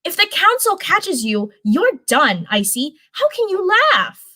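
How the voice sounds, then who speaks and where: Woman voicing a scolding, higher-pitched fairy girl. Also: sing-song, unhurried, bright, forceful tone, no echo, heavily acted. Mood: angry. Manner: reproachful questioning. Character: scolding, displeased young fairy leader girl.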